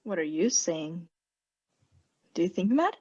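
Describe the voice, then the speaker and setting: A woman playing a mad condemned murderess in a radio play, intense and halting, often sinking into breathy hushed tones as she insists she is sane. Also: lowish voice, sing-song, lo-fi sound, heavily acted. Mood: defensive. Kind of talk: theatrical radio play monologue.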